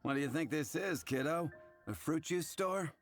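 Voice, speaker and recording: A man's voice: a little high, expressive, normal speed, close to an everyday voice. A man playing a blunt, sardonic tavern bartender in an anime video game. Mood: gruff, sardonic. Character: blunt, wry male tavern bartender, adult. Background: music.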